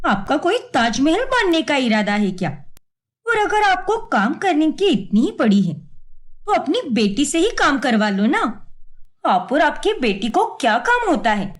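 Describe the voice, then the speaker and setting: A woman voicing a hot-tempered young working daughter-in-law in a melodramatic cartoon, sharp-tongued and sarcastic. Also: high-pitched, big pitch swings, unhurried. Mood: indignant.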